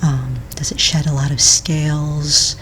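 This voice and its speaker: Woman as patient ASMR office manager: breathy near-whisper, slow and unhurried, courteous form-filling manner, keys clicking behind. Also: deep voice, level tone, poor audio. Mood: patient, businesslike. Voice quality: soft, breathy, half-whispered. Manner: gentle, unhurried, hesitant official questioning. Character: patient, polite female office manager registering fantasy pets. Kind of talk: soft-spoken ASMR roleplay. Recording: close mic, faint noise, keyboard clicks. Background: computer keyboard typing and clicks.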